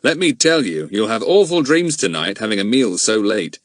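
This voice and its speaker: A man's voice: fairly low pitch, big pitch swings, moderate pace, no room sound, clean studio sound. A man voicing a hearty, fond grown-up friend with a teasing, jovial manner in an audiobook. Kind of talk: audiobook character voice.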